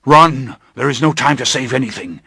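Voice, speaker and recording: A man voicing a protective older male master who shouts urgent commands in an audiobook. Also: medium pitch, animated, moderate pace, creaky, slightly rough, dry recording, studio quality, voice pushed up, full character voice.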